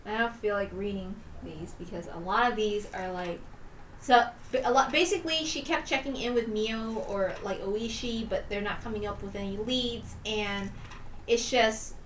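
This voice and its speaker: A woman's voice: medium pitch, normal speed, slight background noise. A woman streamer reading a visual novel aloud, moving between narration and casual commentary. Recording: roomy echo, distant mic, music behind.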